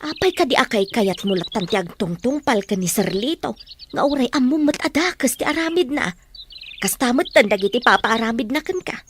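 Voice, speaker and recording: A woman's voice: fairly high pitch, animated, brisk, a little hiss or hum, close to an everyday voice. A woman with an Ilocano accent playing a deferential but inquisitive housemaid in a radio drama. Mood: chiding, caring.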